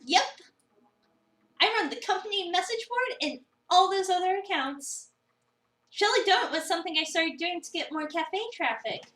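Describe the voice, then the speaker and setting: A woman's voice: very high-pitched, animated, moderate pace, fairly bright tone, pitched above the performer's own voice. A woman voicing a bubbly, confident young female cafe coworker in a visual novel, perky and encouraging. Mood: bubbly, proud. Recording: roomy, distant mic, music behind.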